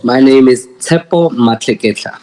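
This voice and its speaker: A man's voice: mid-range voice, moderate pace, background noise. Laughing South African man in his thirties. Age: 30s. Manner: friendly, laughs often, repeats words. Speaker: poet, guest. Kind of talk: casual interview.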